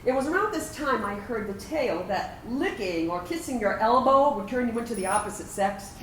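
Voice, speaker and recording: Woman playing a wistful veteran, high and animated. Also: a little fast, natural voice. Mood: amused nostalgia. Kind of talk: staged reading of a personal narrative play. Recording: roomy, distant microphone, faint background noise.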